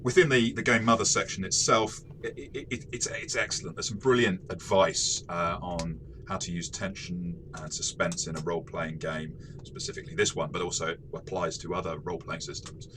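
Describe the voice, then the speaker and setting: A man co-hosting a casual game review discussion, talking in a rambling, halting way and often repeating words. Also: low-pitched, fairly even intonation, background noise. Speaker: podcast host and game reviewer.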